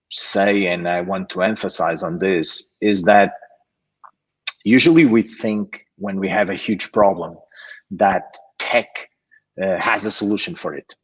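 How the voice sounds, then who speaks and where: A man's voice: fairly low pitch, low-quality recording. A man from Portugal introducing himself as a panelist on an online call, hesitant with many fillers and restarts. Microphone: video call.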